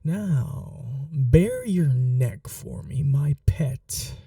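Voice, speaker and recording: Male actor as ancient seductive vampire master, sadistic and possessive, hushed ASMR roleplay, extremely mellow dark tone, unhurried drawn-out delivery, formal endearments. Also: mid-range voice, lively intonation, gravelly, no echo, clean studio sound, recorded from a distance, exaggerated voice. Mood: predatory, seductive. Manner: slow, hushed, dominant, formal endearments, often whispering. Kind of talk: intimate ASMR roleplay, scripted monologue.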